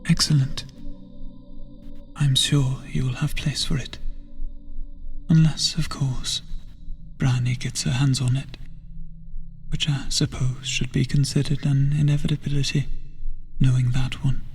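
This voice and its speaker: A man playing a gentle, guilt-ridden lantern bearer in a fantasy video game, speaking softly in old-fashioned phrasing, half-whispered, hesitant and solemn. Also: mid-range voice, flat delivery, unhurried, long pauses, soft background music. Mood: gentle concern. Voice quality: breathy, husky, half-whispered. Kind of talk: soft-spoken video game NPC dialogue, theatrical archaic phrasing.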